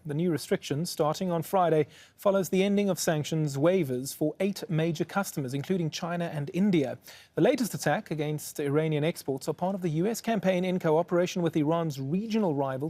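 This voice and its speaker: Higher-pitched, mellow male news anchor. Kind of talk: broadcast news presenting and interviewing.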